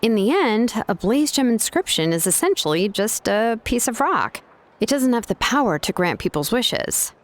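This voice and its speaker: Laid-back young woman, lively intonation. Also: fairly high pitch, moderate pace, a little hiss or hum. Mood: frank, reassuring. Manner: casual, frank, offhand. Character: laid-back, frank young woman craftsperson, casually practical. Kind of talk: video game voice acting. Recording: music under the voice.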